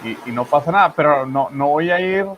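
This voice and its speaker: A man's voice: fairly high pitch, brisk, background noise. A man from Monterrey with a marked northern Mexican accent, co-hosting political news commentary in an emphatic, rambling way. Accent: Monterrey Mexican Spanish. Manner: animated, emphatic, talks in long run-on asides.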